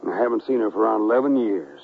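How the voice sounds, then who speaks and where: An actor playing a sly, stubborn, folksy older colonel on the run, with a gravelly voice, in a radio western. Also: male voice, fairly low pitch, lively intonation, rapid-fire, a little hiss or hum. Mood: coaxing. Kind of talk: old-time radio western acting. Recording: tinny, narrow-band radio drama recording.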